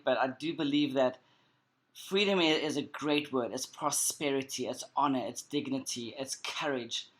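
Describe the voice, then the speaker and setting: A man's voice: mid-range voice, fairly bright tone. A man from South Africa with a South African accent, whose first language is Creole Portuguese, talking as an interview guest in an earnest, reflective, conversational way with emphatic repetition. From South Africa and Cape Verde. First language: Cape Verdean Creole Portuguese. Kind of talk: conversational personal storytelling.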